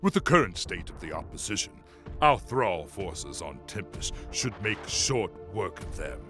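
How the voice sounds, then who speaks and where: A scheming alien lord commander, played by a man for a motion comic, haughty and savoring every threat. His voice sits low but rises and falls dramatically. Faint music plays behind him. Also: big pitch swings, a little slow. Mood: triumphant. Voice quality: haughty, relishing menace. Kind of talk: fan motion comic voice acting.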